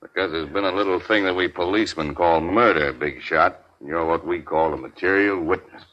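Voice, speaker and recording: An actor playing a mocking, needling police detective in a 1940s radio crime drama, sarcastic and taunting. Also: male voice, sits low, moderate pace, close to an everyday voice. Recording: dull 1940s radio recording.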